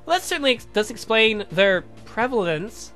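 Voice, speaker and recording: Man putting on a high, astonished genteel lady. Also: big pitch swings, moderate pace, bright, forceful tone, faint music behind, full character voice. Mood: amazed. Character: astonished genteel young lady, the count's beloved.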